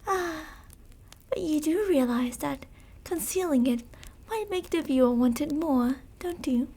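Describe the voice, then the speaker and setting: Slow, high-voiced seductive vampire woman, acted by a woman. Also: expressive, mellow. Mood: seductive, teasing. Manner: slow, teasing, mock-courteous, archly formal, drifting into whispers. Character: sadistic, possessive, seductive vampire noblewoman, predatory and teasing. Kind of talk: ASMR roleplay, intimate scripted monologue.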